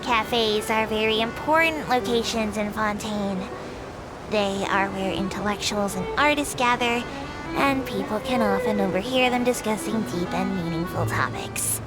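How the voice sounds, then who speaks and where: A woman's voice: mid-range voice, unhurried, close to an everyday voice, low-quality recording. A woman voicing a small, polite creature tour guide in a video game, speaking formally and precisely with dry matter-of-fact asides. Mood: polite, composed. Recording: loud music under the voice. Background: music.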